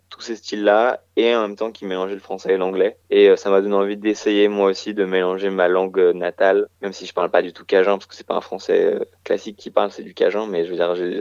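A man's voice: deep voice, creaky, a little mellow, clean tone, no echo. A man in his thirties, a musician answering radio interview questions in a hesitant way, full of fillers and restarts. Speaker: musician guest. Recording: slightly dull radio recording.

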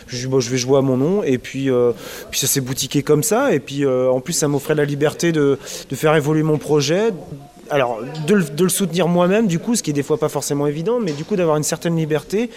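A man's voice: on the high side, a little fast, darkish tone. A man in his thirties from Brittany, answering interview questions in a casual, hesitant way with many fillers and restarts. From Brittany, France. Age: 30s. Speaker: interviewed musician.

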